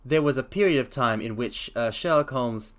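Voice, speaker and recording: Man, streamer, reads aloud, middle pitch, self-correcting, chatty asides. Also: normal speed. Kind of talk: live-streamed dramatic reading with commentary.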